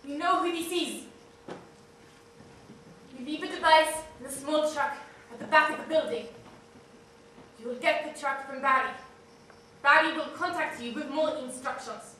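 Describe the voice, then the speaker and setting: A woman's voice: high-pitched, normal speed, pauses often, heavy reverb, distant mic, a little hiss or hum, low-quality recording. An actress playing a threatening woman who leaves hostile phone messages. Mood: cold. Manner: cold, then shouting abuse. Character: vicious threatening woman caller.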